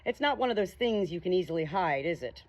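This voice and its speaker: A woman's voice: lowish voice, animated, fast, darkish tone, lo-fi sound. A woman voicing a guarded, defiant young female ghost in an audiobook, speaking with control and thinly veiled scorn. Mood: sweetly derisive.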